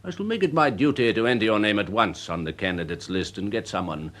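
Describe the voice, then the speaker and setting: An actor playing a worldly, pompous older uncle with an upper-class English accent, speaking deliberately in period radio drama style. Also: male voice, on the low side, lively intonation, fairly bright tone. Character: worldly, pompous older gentleman uncle, proud of his nephew.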